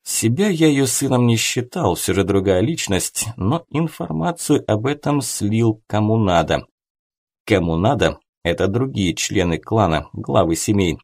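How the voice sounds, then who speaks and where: A man narrates an audiobook in a fairly low voice. He reads scripted first-person prose as the storyteller. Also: lively intonation, a little slow, a little mellow.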